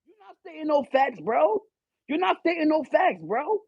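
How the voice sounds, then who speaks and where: A man hosting a live stream, loud and animated, swearing and arguing back at his chat. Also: extremely high pitch, normal speed, dry recording, highs cut off. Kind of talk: casual live stream rant.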